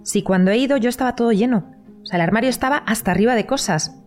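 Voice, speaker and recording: An actress with a Castilian Spanish accent playing an incredulous, outspoken dinner guest in a naturalistic, conversational audio drama. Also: female voice, fairly high pitch, fast, a little mellow, faint music behind, close to an everyday voice. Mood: insistent. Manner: conversational, emphatic.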